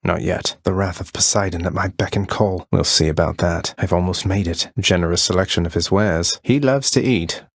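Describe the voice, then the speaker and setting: Low, husky, wry young rebel prince. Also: male voice, moderate pace, hardly any pauses, dry recording. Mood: sardonic, defiant, then focused, murmuring. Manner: dry sardonic understatement, formal turns of phrase. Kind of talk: video game voice acting.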